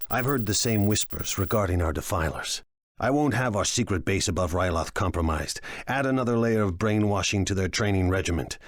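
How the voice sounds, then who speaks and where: A man's voice: fairly low pitch, no echo, close to an everyday voice. A man playing a ruthless, ambitious crime boss in a video game, speaking in a smug, imperious, commanding way. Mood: calculating. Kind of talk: cinematic video game acting.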